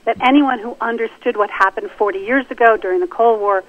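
A woman's voice: a little high, brisk. A woman speaking conversationally as an interview guest on a radio program. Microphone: telephone. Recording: thin, narrow telephone line.

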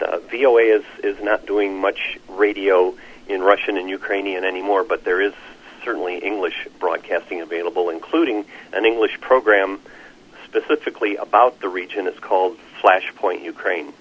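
Male expert interviewee, fairly deep and husky, explaining haltingly with many pauses, lo-fi radio sound. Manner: hesitant, measured, frequent long pauses. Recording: slightly dull, lo-fi radio-call sound.

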